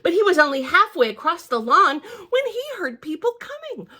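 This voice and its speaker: A woman reading a picture book aloud to young children as a library storyteller, in a theatrical, expressive manner with chatty asides. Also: extremely high pitch, normal speed.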